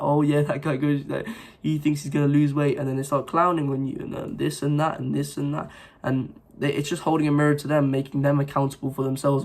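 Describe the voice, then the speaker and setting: A young man with a sore throat from recent illness, giving an earnest, rambling motivational talk to his viewers with many fillers and restarts. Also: fairly high pitch. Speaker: self-improvement vlogger. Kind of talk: casual motivational talk to camera.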